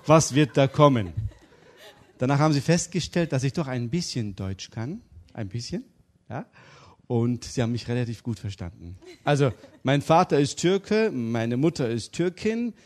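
Middle-aged preacher guy from turkey, jokey storyteller, lively. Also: mid-range voice, normal speed. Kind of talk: interview and sermon.

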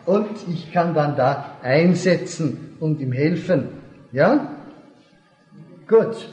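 A man's voice: fairly high pitch, darkish tone. A man giving a seminar lecture, explaining step by step, repeating key points and checking whether the audience understands. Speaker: seminar speaker. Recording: echoey hall, dull lo-fi sound.

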